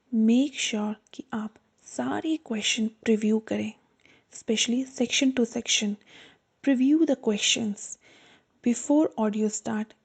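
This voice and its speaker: A woman tutor giving an explanatory lesson to students, earnest and repeating her reminders, slowing down to spell out the key points. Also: a little high, mellow, husky. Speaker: exam-prep tutor.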